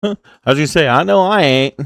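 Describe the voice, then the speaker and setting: A man's voice: on the high side, fairly quick, creaky, a bit bright, no room sound, clean studio sound. A young man co-hosting a casual podcast, teasing and joking with friends. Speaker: podcast co-host. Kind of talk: casual chat.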